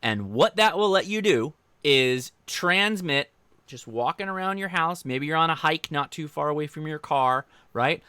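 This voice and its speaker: Casting: American male hobby streamer, crisp bright voice, dry close sound, chatty and rambling. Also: on the high side, lively intonation, normal speed, no echo. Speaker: host of a ham radio channel. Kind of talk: casual livestream chat, answering viewer comments.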